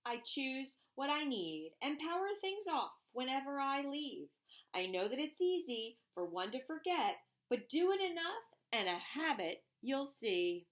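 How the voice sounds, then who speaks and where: A woman reading a rhyming children's picture book aloud, expressively and deliberately, with emphasised phrases. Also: high voice, big pitch swings.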